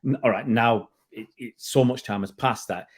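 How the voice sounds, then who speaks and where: A man's voice: mid-range voice, normal speed, slightly rough. A middle-aged man from England hosting a casual football chat, chatty and prone to repeating words as he goes. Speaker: fan channel host.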